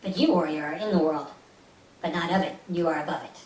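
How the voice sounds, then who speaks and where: Woman, lowish voice, meditation instructor, soothing repeated cues, echoey room, distant mic. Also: fast, a little mellow. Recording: roomy, distant mic with faint hiss.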